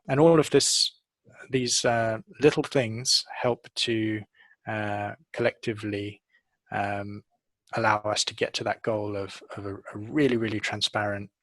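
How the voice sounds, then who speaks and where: Male engineer, middle-aged, low and flat delivery, halting technical interview answers, close headset mic. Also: dry recording. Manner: hesitant, measured, pausing often. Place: office showroom, on video call.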